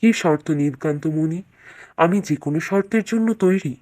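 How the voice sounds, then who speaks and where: A woman's voice: low voice, moderate pace, darkish tone, lightly acted. A woman voicing a proud male king in a children's cartoon fairytale, speaking in a regal, declamatory way. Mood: eager.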